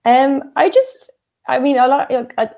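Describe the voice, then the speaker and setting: A woman's voice: sits high, moderate pace, vocal fry, dark tone. An Irish woman, a writer and translator, talking as a guest in a casual interview and thinking aloud with many fillers. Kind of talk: casual conversation.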